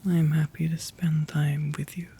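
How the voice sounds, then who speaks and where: A man voicing a kind, courteous gentleman vampire in a soothing ASMR roleplay, gentle and tender, often slipping into a whisper. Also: on the high side, level tone, measured pace, slightly rough, full character voice. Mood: soothing, affectionate.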